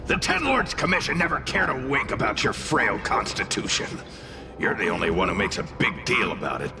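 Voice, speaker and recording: Gravelly, wildly swooping cranky spirit. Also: male voice, on the low side, big pitch swings, moderate pace, background noise. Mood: grudging. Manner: sneering, exclamatory, theatrical. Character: cranky, scornful male tail spirit, mocking and impatient. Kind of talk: anime-style game voice acting.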